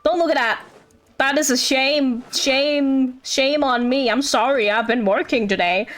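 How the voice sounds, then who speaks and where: A woman's voice: high voice, unhurried, fairly bright tone. A woman chatting casually on a game livestream, rambling and thinking aloud in a playful, giggly, self-deprecating way.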